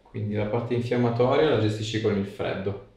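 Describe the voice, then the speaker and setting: A man's voice: fairly low pitch, fairly quick, a little mellow. A very roomy, distant recording of a man. He works as a manual therapist, asking short questions and giving instructions during an exam. There is faint noise behind him. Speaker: osteopath or chiropractor examining a patient. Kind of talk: clinical examination talk with short questions and instructions. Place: small hard-walled treatment room, indoors. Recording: echoey room, distant mic, faint noise.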